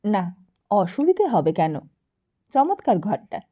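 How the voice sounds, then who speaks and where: A woman's voice: medium pitch, sing-song, slow, husky, highs cut off, exaggerated voice. A woman voicing a polite, reticent male family friend in an audiobook, courteous and a little hesitant. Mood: politely reassuring. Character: polite, reticent man, a family friend taking his leave.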